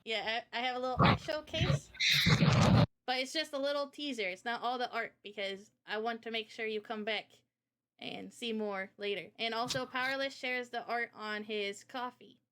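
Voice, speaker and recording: A woman's voice: on the high side, fairly even intonation, moderate pace, a bit bright, smooth. A young woman presenting casually on a livestream, chatty, informal and enthusiastic, like a developer showing off a project.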